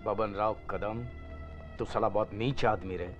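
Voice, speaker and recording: A man's voice: fairly low pitch, fairly even intonation, a little slow, close to an everyday voice. A young man playing a loyal, disapproving underworld middleman in a gangster film spoof, speaking with reproach. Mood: scornful. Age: young adult. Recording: muffled audio with background music.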